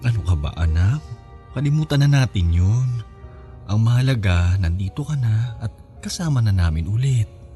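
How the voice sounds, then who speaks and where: Man acting a low-voiced, campy Filipino father. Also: expressive, normal speed, mellow, faint music behind, natural voice. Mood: reassuring. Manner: campy, affectionate, consoling. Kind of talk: radio drama acting.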